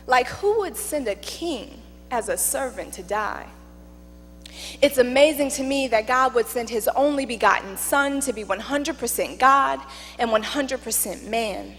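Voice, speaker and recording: A woman performing a spoken word poem in church, with dramatic, deliberate phrasing and emphatic pauses. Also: on the high side. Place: church, live recitation. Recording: reverberant hall, music underneath. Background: music.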